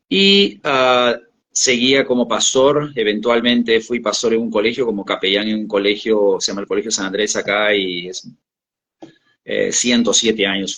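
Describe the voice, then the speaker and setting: A middle-aged man with a Lima Peruvian accent and a fairly low voice. He talks in a conversational way, like a pastor and teacher introducing himself. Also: fairly bright tone. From Lima, Peru. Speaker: guest, theology professor and pastor.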